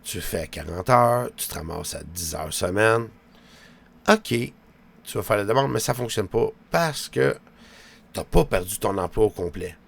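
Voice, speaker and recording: Low, gravelly Quebec French man. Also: animated, unhurried. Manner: colloquial, with frequent verbal tags. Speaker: vlogger. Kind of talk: rambling casual monologue to viewers.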